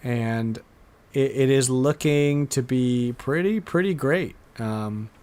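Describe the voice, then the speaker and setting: A man hosting a podcast, chatting casually and informally, often halting and repeating words as he goes. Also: medium pitch, darkish tone. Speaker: podcast host. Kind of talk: casual chat about retro game news.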